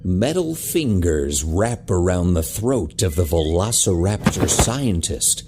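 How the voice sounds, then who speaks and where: A man narrating a scripted action drama with a husky voice. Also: low-pitched, sing-song, slow pace, a little mellow, slight background noise.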